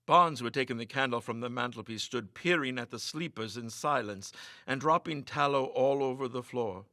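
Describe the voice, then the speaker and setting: A man delivers suspenseful, theatrical story narration. His voice sits at a medium pitch with a sharp, forceful tone. The sound is close and dry. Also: moderate pace, no room sound. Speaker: story reader. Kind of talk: dramatic scripted narration of a ghost story.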